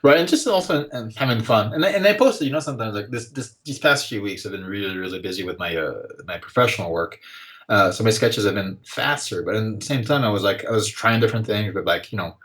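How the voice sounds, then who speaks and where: A man in his forties, a guest artist chatting casually on a podcast, relaxed and rambling with lots of fillers. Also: fairly low pitch, quick pace. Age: 40s.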